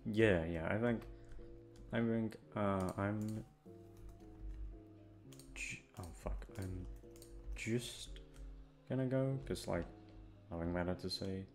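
Low-voiced young man rambling over music. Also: slow pace, long pauses, a little mellow. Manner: self-deprecating, hesitant, sweary. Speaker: audio creator and voice actor. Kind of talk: rambling casual chat to listeners.

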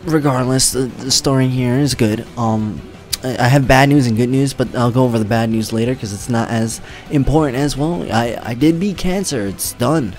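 A man's voice: medium pitch, moderate pace, a little mellow, soft background music. Young man talking loosely, voice worn. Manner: loose, rambling, swears freely. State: voice weakened from treatment. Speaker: streamer. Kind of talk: casual vlog talk to camera. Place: home streaming room, indoors.

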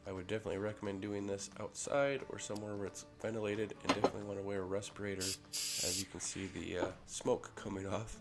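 A man with a head cold, casually talking through a workshop ring build in a relaxed way with fillers. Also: lowish voice, quick pace, few pauses. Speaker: maker showing a ring build. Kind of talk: casual workshop tutorial voice-over. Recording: voice-over with clear music behind.

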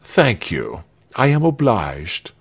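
A man's voice: lowish voice, sing-song, slow pace, breathy edge, exaggerated voice. A man playing a kindly old male executioner, mild, fussy and very courteous, in a storybook reading. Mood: politely insistent. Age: older.

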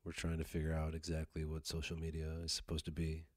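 A man hosting a podcast, chatting casually with relaxed, measured replies. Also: rumbling low, no room sound, studio quality. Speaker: podcast host, musician. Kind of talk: casual conversation.

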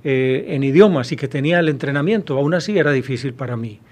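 A man in his fifties with a Colombian Spanish accent, a university professor answering interview questions, pausing often with hesitations and restarts, recorded on a studio mic. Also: mid-range voice, darkish tone. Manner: thoughtful, many hesitations and restarts. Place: small studio with blue backdrop. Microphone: studio mic on boom arm.

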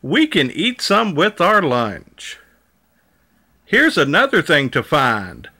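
A man's voice: on the high side, huge pitch swings, slow pace, pushed and bright, exaggerated voice. A man reading the part of a cheerful, helpful big dinosaur in a children's storybook, encouraging and sing-song.

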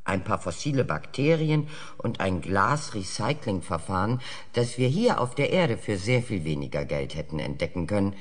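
An actor playing a measured, reproachful senior statesman, arguing deliberately in a dramatized television debate. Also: lowish voice, big pitch swings. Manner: deliberate, argumentative, rhetorical. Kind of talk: audio drama acting as TV debate. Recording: long hall reverb, played-back debate.

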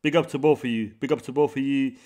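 A man's voice: mid-range voice, fairly even intonation, fast, darkish tone. A man, hosting a casual live stream from a small home room into a studio mic, upbeat and greeting viewers by name over and over. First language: Arabic. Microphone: large studio mic on a boom, headphones.

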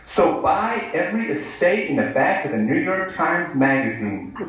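A man's voice: a little high, sing-song, moderate pace, background noise. An American man in his thirties reads poetry live in a sardonic, declamatory manner. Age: 30s. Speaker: poet. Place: indoor bar venue, live reading. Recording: echoey live bar recording, distant mic.

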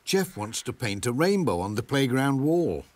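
A man's voice: medium pitch, very animated, normal speed, darkish tone, slight background noise, natural voice. A man with a British accent playing a friendly, helpful middle-aged village postman in a children's cartoon, cheery and a little muddled.

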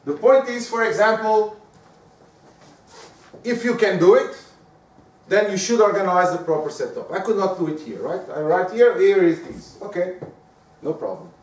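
A man from Portugal with a Portuguese accent, whose first language is Portuguese, giving a lively lecture on presenting and often asking the audience rhetorical questions. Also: high voice, moderate pace, long pauses. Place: lecture room. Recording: echoey room, speaker far from the mic.

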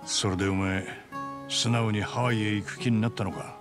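An actor playing a gruff, frank older male friend in a video game, gravelly and bluntly familiar. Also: lowish voice, fairly even intonation, moderate pace, over music, close to an everyday voice. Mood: familiar, teasing. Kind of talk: dramatic video game voice acting.

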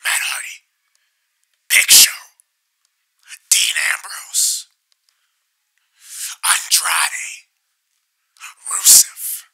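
A man voicing a parody of a gruff, combative older wrestling boss in a hoarse growl, blustering and dismissive. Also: extremely slow, long pauses, bright, forceful tone, in a whisper, exaggerated voice. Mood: scornful, boastful. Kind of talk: parody phone argument acting.

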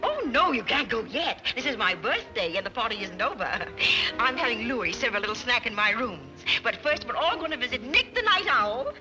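A gushing, giggly rich hostess in her thirties in an old film. Her voice sits high and swoops about with excitement. Music plays under the old soundtrack. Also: moderate pace, low-quality recording. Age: 30s. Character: giddy rich society woman, middle-aged.

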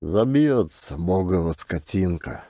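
A simple village huntsman , acted by a male narrator, grumbles good-naturedly in rustic, folksy speech. His voice is low and dark, in the manner of an audiobook drama. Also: animated, takes it slowly, no room sound, clean studio sound, full character voice. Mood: gentle musing.

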